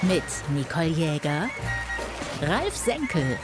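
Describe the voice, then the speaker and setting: Low-voiced woman promo announcer. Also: sing-song, slow, over loud music. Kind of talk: scripted promo voice-over.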